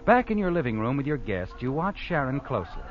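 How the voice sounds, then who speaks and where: A man's voice: mid-range voice, sing-song, fast, natural voice. A man acting as the ominous, insinuating narrator of an old-time radio mystery, addressing the schemer as you. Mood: suspenseful. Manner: dramatic, insinuating. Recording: muffled old radio recording with music.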